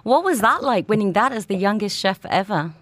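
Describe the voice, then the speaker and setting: A woman's voice: medium pitch, sing-song, brisk, no room sound. Woman, radio host, chatty, enthusiastic, dry studio mic. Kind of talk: radio talk show. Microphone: studio microphone.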